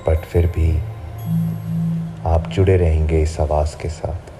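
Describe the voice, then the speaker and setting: A man with a very deep voice leads a sleep hypnosis, drawing out each phrase at a slow, soothing pace. Clear music plays behind him throughout. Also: level tone. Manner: slow, soft, soothing guidance. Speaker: hypnotherapist guiding a sleep session.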